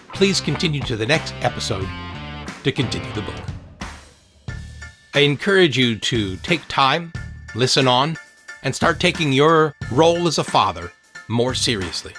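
A man narrating his own book as an author and podcast host, in an earnest, measured, motivational way. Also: medium pitch, lively intonation, over music. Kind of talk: scripted audiobook narration.

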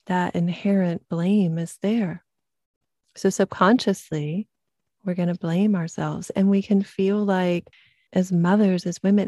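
Slow, mellow-voiced woman host. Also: on the low side, no room sound, clean studio sound. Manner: gentle, affirming. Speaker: podcast host. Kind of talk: supportive interview conversation.

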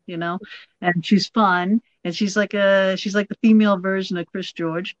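A woman from Massachusetts with a Massachusetts accent and a rough voice, hosting a casual chat and talking bluntly. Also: medium pitch, steady tone, lo-fi sound. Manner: blunt, quick and laughing. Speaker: podcast host.

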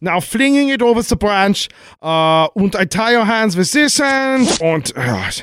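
High-pitched gleeful German villain. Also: male voice, big pitch swings, measured pace, fairly bright tone, clear, resonant tone, exaggerated voice. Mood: smug, gleeful. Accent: put-on German. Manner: theatrical, hesitant, over-explaining gloating. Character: gleeful, fussy German villain man, scheming and long-winded. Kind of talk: comic 1940s-style radio play acting.

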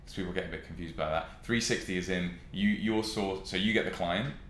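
A man's voice: low voice, a little fast, a bit bright. A young adult man with a southern English accent, chatting casually as a podcast guest. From West Sussex, England. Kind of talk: casual chat, telling own experience. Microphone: podcast microphone. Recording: roomy echo with faint noise.

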